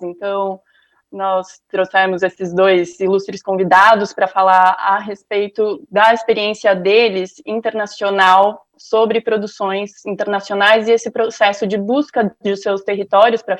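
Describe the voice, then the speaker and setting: A woman's voice: medium pitch, muffled recording. A woman moderating a panel over a video call, friendly, with many hesitant starts and fillers.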